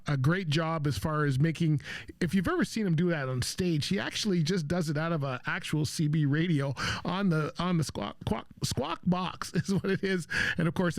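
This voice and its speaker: A man's voice: on the high side, no echo. An older man hosting a radio show in a casual, rambling way, with many fillers and frequent chuckles. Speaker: radio host.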